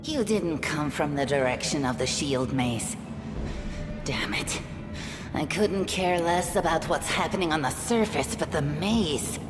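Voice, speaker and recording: A woman's voice: low-pitched, lively intonation, a little slow. An actress playing a proud, bitter cave-dwelling mongrel woman in a video game, solemn and scornful. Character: proud, bitter young female mongrel cave-dweller, defensive of her people. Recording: echoey hall, music behind.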